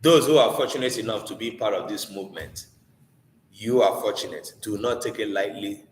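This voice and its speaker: Male language teacher, Igbo accent from Nigeria, slightly deep, earnest informal lecturing with rhetorical questions, echoey live-class recording. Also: moderate pace. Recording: echoey room with long reverb.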